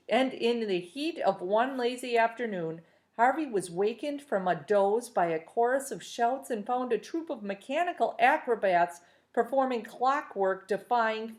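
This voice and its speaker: Woman teacher reading aloud. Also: a little high. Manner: upbeat, encouraging, steady storytelling. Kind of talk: reading a novel aloud to a class.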